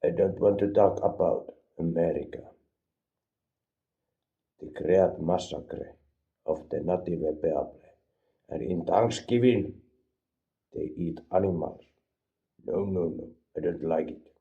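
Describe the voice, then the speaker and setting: A man from Finland with a Finnish accent, rambling deadpan through an absurd comedic product review and mixing languages. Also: sits low, takes it slowly, creaky, pauses often, phone-quality sound. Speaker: vlogger reviewing an energy drink.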